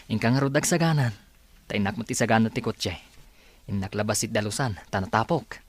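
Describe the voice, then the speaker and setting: A man's voice: lowish voice, a little fast, close to an everyday voice. An actor with an Ilocano Filipino accent playing an easygoing young working man in a radio drama.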